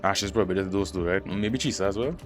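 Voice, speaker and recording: Male game streamer, lower register, casual reactions, thinking out loud in slang, faint music behind. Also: quick pace. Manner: musing aloud, slangy. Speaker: gaming streamer.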